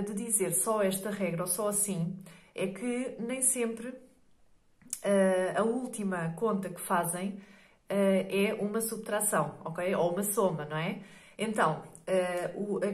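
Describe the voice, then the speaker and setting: A middle-aged woman with a European Portuguese accent, hoarse from a cold, walks through a maths lesson step by step. She talks patiently, at a middle pitch, and keeps checking in with the listener. The room around her voice sounds echoey. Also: a little mellow. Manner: patient, explanatory, many fillers and checks like "ok?". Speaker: maths teacher.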